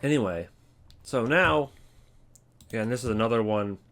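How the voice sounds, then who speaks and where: A man's voice: medium pitch, animated, normal speed, a bit bright, faint music behind. A man giving casual, rambling commentary as a video creator, offhand and often interrupting himself. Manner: offhand, hesitant, self-interrupting. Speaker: video creator ranking game voice lines.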